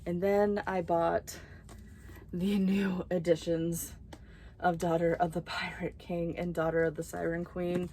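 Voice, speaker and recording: Young woman vlogger, chatty and excitable, lowish voice, faint hiss behind. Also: measured pace. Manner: chatty and excitable, drops to whispered asides. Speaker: book vlogger. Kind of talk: casual book haul chat.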